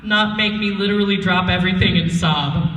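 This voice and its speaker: A woman bantering casually as a panelist at a convention, excitable and giggly. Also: mid-range voice, unhurried, dull audio. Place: large indoor convention panel room. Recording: echoey hall, distant PA sound.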